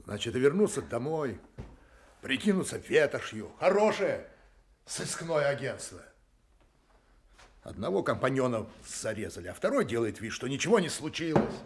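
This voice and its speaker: An actor in a radio play voicing a terse, determined private detective, probing and dry. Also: male voice, medium pitch, very animated, moderate pace. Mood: dry, resolute.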